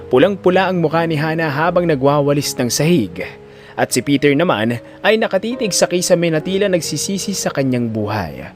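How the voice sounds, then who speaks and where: A man's voice: on the high side, sing-song, normal speed, faint music behind. A man delivering dramatic scripted story narration as the narrator of an audio drama.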